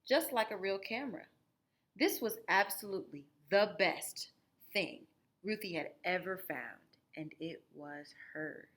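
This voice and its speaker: A woman with a bright, lively voice reads a picture book like a classroom teacher. Her pitch moves freely, and she often steps out of the tale to explain things to children. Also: a little slow. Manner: animated, explanatory, guiding listeners through the story. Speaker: teacher reading aloud. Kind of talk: expressive storybook read-aloud with asides to children.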